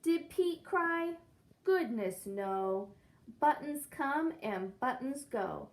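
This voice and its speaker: Young female teacher, unhurried children's read-aloud, slightly high voice, counts and quizzes the kids. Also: expressive. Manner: asks the children questions, counts along.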